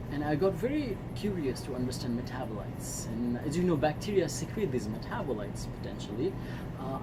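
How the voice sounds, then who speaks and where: A man in his twenties from Nepal with a Nepali accent, giving a prepared personal presentation as a student in an earnest, formal way. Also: medium pitch. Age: 20s. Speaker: student speaker. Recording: echoey room with faint noise.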